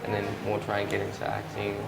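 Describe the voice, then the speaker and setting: A young Australian man, a fashion model, answering interview questions in a relaxed, hesitant way with many restarts. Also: on the low side, quick pace, gravelly. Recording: loud ambient noise behind.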